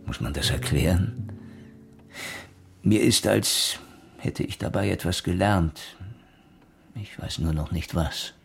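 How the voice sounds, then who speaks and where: An actor playing an earnest, reflective police inspector in a radio crime drama, speaking in a measured way. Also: male voice, low-pitched, creaky, slightly rough, soft background music, full character voice. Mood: grave, reflective.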